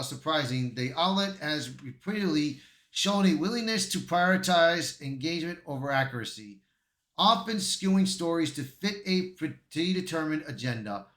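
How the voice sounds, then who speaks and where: A man's voice: fairly high pitch, fairly bright tone. A man giving online commentary, reading out an article with casual asides and stumbling over some words. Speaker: YouTube commentator. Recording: echoey untreated room.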